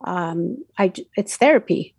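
A woman's voice: fairly low pitch, expressive, unhurried, vocal fry, darkish tone, slightly rough, no room sound. A woman with a Mexican Spanish accent, a native Spanish speaker who grew up in Mexico City, talks through her radio show in a loose, chatty way. She restarts often and leans on fillers. Her voice sits close and dry. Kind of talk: casual interview answers.

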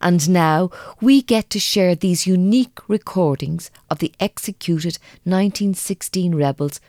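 An actress playing a radio presenter introducing a panel, speaking in a measured announcing manner. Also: female voice, lowish voice, darkish tone, no room sound, studio quality.

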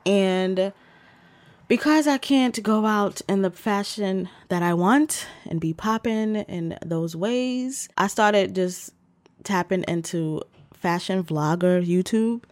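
A woman's voice: mid-range voice, slow pace, darkish tone, dry recording. A woman co-hosting a podcast, chatting in a slangy, informal, rambling way. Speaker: podcast co-host. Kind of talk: casual chat.

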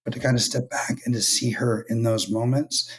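A man from California, hoarse from losing his voice, talking thoughtfully as a writer guest in a casual podcast interview. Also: mid-range voice, flat delivery, normal speed. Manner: thoughtful, many ums and restarts. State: hoarse, lost voice.

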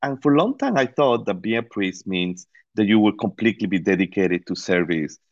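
Low-voiced man with a Costa Rican accent. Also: expressive, fairly quick, no echo, muffled recording. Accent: Costa Rican Spanish. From Costa Rica. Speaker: interview guest, professor. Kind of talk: conversational interview.

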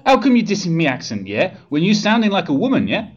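Woman doing a husky, swooping London street lad. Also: on the low side, wildly swooping pitch, fast talker, faint music behind. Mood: cocky, indignant. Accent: London street slang. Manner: slangy, brash, informal. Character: cocky young male Galileo as slangy street lad. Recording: dull, lo-fi audio.

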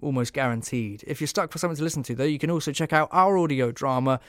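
A man's voice: a little high, fast talker. A man hosting a podcast, giving a casual review in a hesitant, meandering way. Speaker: podcast host.

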